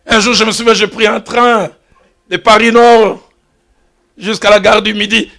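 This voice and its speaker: A pastor preaching to his congregation, a man with a bright, ringing voice. He tells his stories by dropping to hushed asides and then rising to loud exclamations. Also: high-pitched, little pitch movement, unhurried, vocal fry. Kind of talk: sermon. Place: church.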